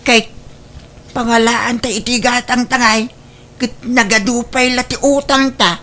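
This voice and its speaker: A woman plays a weary old Ilocano husband in a comedy drama. The voice is bright and forceful, grumbling and exclaiming about every hardship. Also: a little high, fairly even intonation, measured pace. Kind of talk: Ilocano comedy radio-style drama acting.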